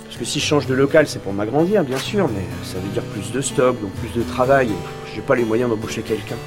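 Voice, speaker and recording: A man's voice: medium pitch, a little fast, natural voice. An actor playing a worried male shopkeeper who talks hesitantly to his doctor about debts, in a radio drama. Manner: hesitant, rambling. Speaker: patient. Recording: music under the voice.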